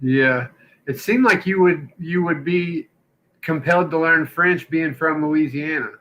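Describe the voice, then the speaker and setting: A man's voice: fairly high pitch, a little slow, sharp tone, rough recording. A man hosting a casual podcast, blunt and profane, who likes to tell corny jokes. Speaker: podcast host.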